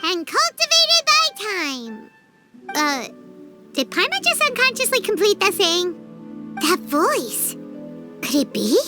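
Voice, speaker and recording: Very high squeaky childlike fairy, cheeky, exclamatory, swooping intonation, anime game, music behind. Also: female voice, wildly swooping pitch, takes it slowly, pauses often, full character voice. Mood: surprised. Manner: exclamatory, refers to self in third person. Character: Paimon, tiny chirpy childlike floating fairy companion, cheeky. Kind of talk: anime game dub acting.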